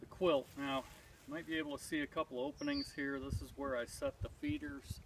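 A man in his thirties explaining step by step as he works outdoors in spring woods, talking in a plain, methodical way with frequent pauses. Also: medium pitch, steady tone, background noise. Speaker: beekeeper vlogger. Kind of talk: casual demonstration. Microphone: far camera on a tripod. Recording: distant outdoor mic with clear background ambience.

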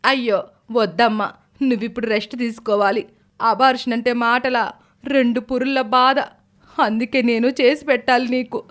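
A woman's voice: fairly high pitch, steady tone, moderate pace, fairly bright tone, natural voice. Woman playing an earnest older mother-in-law. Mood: remorseful, caring. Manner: earnest, reassuring.

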